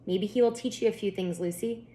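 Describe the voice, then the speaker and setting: A woman voicing a kind, encouraging mother in a children's storybook, with a gentle, reassuring manner. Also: mid-range voice, a little fast, slight background noise, lightly acted. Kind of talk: children's storybook read-aloud.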